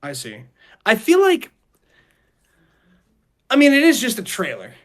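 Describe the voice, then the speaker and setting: A man's voice: very high-pitched, wildly swooping pitch, a little slow. A man hosting a casual podcast discussion, rambling and repeating phrases as he poses questions. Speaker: podcast host.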